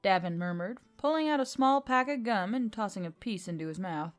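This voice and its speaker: A woman with a medium-pitched voice narrates scripted fiction. She reads as a storyteller, with faint music running underneath. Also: lively intonation, fairly quick.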